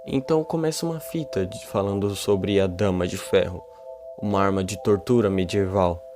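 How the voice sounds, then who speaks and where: A man's voice: sits low, normal speed. A man with a Brazilian accent narrating and explaining a horror video in an informal way. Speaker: YouTube narrator. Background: music.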